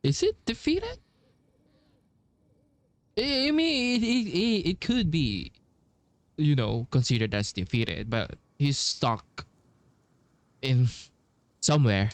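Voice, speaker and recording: Young dude doing laid back game commentary, slow pace but pitch jumps around, music behind. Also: male voice, big pitch swings, no echo. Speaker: gaming streamer. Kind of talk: casual playthrough commentary.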